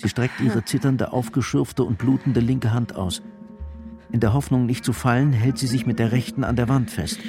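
A man's voice: medium pitch, fairly even intonation, normal speed, close to an everyday voice. A man giving a grim, intense scripted narration of a crime story in a German radio drama. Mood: tense. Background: music.

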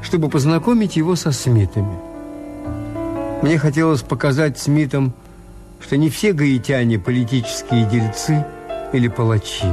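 An actor in a radio drama playing a skeptical, world-weary hotel owner who narrates in a measured, understated way. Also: male voice, mid-range voice, music in the background, natural voice. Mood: reflective narration.

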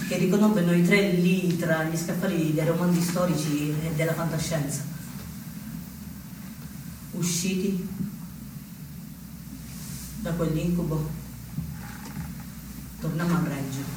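An actor playing a wry male anarchist recounting a revolt in a measured, dramatic theatre monologue with deliberate pauses. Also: fairly high pitch, lightly acted. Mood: grave, subdued. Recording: very echoey stage, distant mic, noisy.

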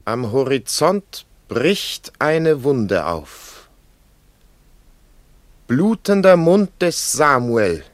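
Solemn, very slow prophetic voice. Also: male voice, mid-range voice, big pitch swings, dry recording. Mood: solemn, visionary. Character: solemn visionary voice proclaiming like a prophet. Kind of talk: poetic radio drama declamation.

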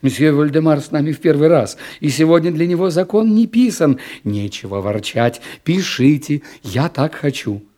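Man voicing an imperious, playful young princess, mellow tone. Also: fairly high pitch, lively intonation, normal speed, hardly any pauses, natural voice. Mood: commanding. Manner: exclamatory, commanding.

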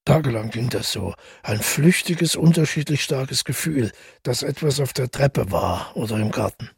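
An actor playing a withdrawn, middle-aged widower who tells his story in a radio drama, husky and gravelly, reflective and measured. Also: medium pitch, no echo, clean studio sound. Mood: hushed, uneasy. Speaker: narrator and protagonist. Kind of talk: literary radio drama monologue.

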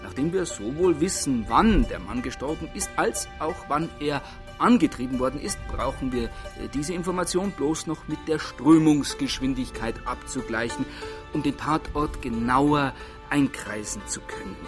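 A man playing a brisk chief of detectives in a radio drama, with a dry, formal, officious manner. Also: fairly high pitch, over music, lightly acted. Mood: officious, sardonic. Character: brisk, dryly sarcastic male chief of detectives.

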